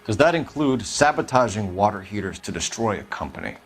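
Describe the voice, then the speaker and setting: An actor playing an earnest, by-the-book police detective with a formal, clipped, authoritative delivery in a video game. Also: male voice, fairly low pitch, big pitch swings, a little fast, faint music behind. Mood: probing, accusing. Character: earnest, by-the-book young male police detective in 1940s America.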